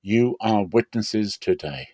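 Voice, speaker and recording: A man's voice: fairly low pitch, animated, slow pace, mellow, no room sound, clean studio sound. Man voicing an emphatic, declamatory ancient landowner. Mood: proclaiming. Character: earnest, authoritative male landowner declaring before elders.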